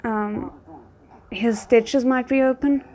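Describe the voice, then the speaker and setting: Woman, high-ish voice, reaction host. Off-the-cuff, expressive commentary, with some background noise. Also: extremely slow. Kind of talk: casual spontaneous reaction commentary.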